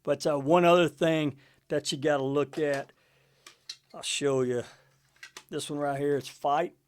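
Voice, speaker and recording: A man's voice: on the high side, steady tone, measured pace, smooth. A middle-aged man talking off the cuff to camera as a music collector, in a laid-back, conversational way with frequent check-in tags. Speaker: music collector hosting a video channel. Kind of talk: casual unscripted talk to camera.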